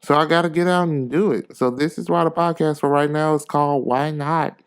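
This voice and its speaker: A man's voice: fairly high pitch, fairly even intonation, fairly quick, smooth. A man hosting a podcast, a little congested from a cold, speaking in an earnest, casual, rambling motivational style and repeating phrases for emphasis. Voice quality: stuffy, congested nose. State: getting over a cold. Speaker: podcast host.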